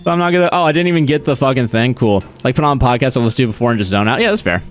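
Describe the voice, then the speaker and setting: A male game streamer talks casually to his chat while he plays. He swears freely and laughs often between thoughts. His voice sits at a middling pitch, with faint music underneath. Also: expressive, racing. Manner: profane, laughs often, chatty asides to chat.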